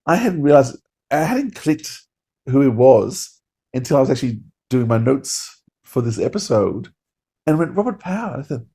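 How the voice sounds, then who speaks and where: A man's voice: medium pitch, sing-song, moderate pace, dark tone, breathy edge. A man co-hosting a podcast, giving a casual review in an enthusiastic, rambling way. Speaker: podcast co-host.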